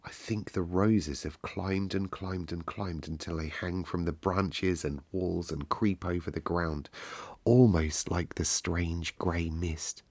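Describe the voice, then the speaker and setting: A man voicing a gentle young girl in a storybook, speaking slowly in a soft, soothing drone. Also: deep voice, fairly even intonation, dry recording, exaggerated voice. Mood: soothing, dreamy. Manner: slow, hushed, droning.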